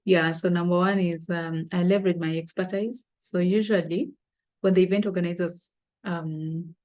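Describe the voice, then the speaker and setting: Ugandan woman hosting, soft mellow voice. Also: on the low side, fairly even intonation. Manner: unscripted, many fillers and pauses, quotes her own past thoughts. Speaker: channel host. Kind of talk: casual talk to camera.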